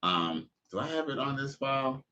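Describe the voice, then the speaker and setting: A man's voice: mid-range voice, very animated, moderate pace, pushed and bright. A man hosting a live stream, reacting to clips with crude jokes and loose slang. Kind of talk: casual reaction commentary. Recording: dull lo-fi stream audio.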